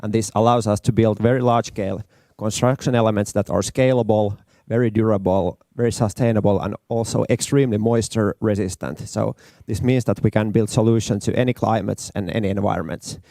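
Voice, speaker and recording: Low, mellow man. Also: a little fast, gravelly, no room sound, studio quality. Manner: relaxed, repeats words. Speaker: startup co-founder, panelist. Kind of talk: panel talk. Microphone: handheld.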